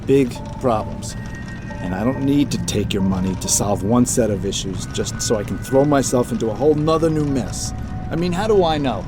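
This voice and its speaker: Loud dramatic music runs under a male actor's take on a gruff salvage boat captain. He is blunt and argumentative, pressing each point with exasperated emphasis at a middle pitch. It plays as audio drama, skeptical and hard to budge. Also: expressive, normal speed, lightly acted.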